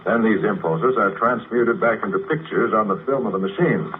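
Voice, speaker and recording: An actor playing a confident sanitarium psychiatrist who explains things in a measured, self-assured way, in 1950s radio drama style. It is heard on a tinny 1950 radio broadcast with faint hiss. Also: male voice, on the low side, lightly acted. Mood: calm explaining. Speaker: doctor.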